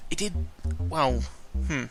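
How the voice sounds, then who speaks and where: A man's voice: on the high side, animated, slow. A man giving a casual, rambling, sarcastic and sweary chat as a YouTuber, often stumbling over his words, speaking into a close microphone. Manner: self-deprecating, sarcastic, sweary. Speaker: YouTuber recording an audio log. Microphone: close microphone with pop filter. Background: background music.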